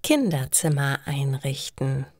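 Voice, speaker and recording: A woman narrating a scripted story for language learners, with careful, clearly articulated delivery. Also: rumbling low, expressive, takes it slowly, a little mellow, no echo, clean studio sound.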